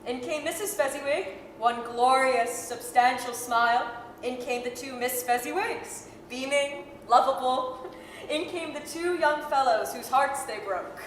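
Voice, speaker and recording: A woman plays a storybook narrator for an old-time radio play. The high voice is measured and vivid. It carries through a reverberant hall over slight noise. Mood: evocative. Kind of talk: scripted dramatic narration, 1930s radio style. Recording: echoey hall, faint noise.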